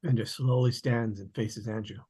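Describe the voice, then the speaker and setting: A man's voice: mid-range voice, fairly even intonation, moderate pace, dark tone, slightly rough. A man narrating, reading out stage directions at a script table read.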